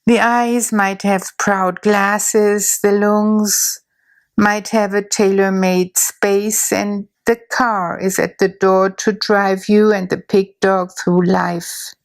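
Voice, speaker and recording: Slow, soothing woman narrator. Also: mid-range voice, little pitch movement. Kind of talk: guided imagery narration.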